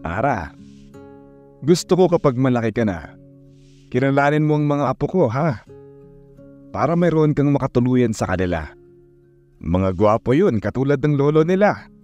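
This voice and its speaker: A man's voice: mid-range voice, very animated, normal speed, pauses often, darkish tone, natural voice. A man playing a doting, teasing old grandfather in an audio drama, with a Filipino accent. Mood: fond, coaxing. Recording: muffled, with guitar music underneath.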